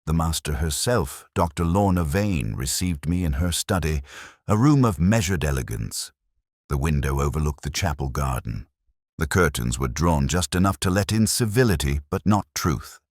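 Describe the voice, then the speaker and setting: A man reading first-person mystery narration in a measured, brooding, literary manner. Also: a bass voice, animated, no room sound, studio quality.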